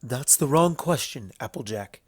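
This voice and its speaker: A man voicing a solemn, guilt-burdened pony princess in a storybook reading, speaking formally and gravely in measured phrases. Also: medium pitch, lively intonation, dry recording, clean studio sound. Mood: regretful, subdued. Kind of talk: fan fiction storybook reading.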